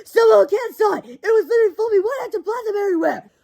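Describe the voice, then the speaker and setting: A man voicing a panicky teenage girl cheerleader in a read-aloud storybook, shrill and stammering. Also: very animated, moderate pace, darkish tone, dry recording, clean studio sound. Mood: hysterical. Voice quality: very high shrill voice. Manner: hysterical, stammering. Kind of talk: storybook reading.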